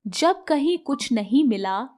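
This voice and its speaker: A female storyteller with a high-pitched voice reads a scripted children's fable. She narrates the animal tale as a moral story. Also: animated, slow pace, darkish tone.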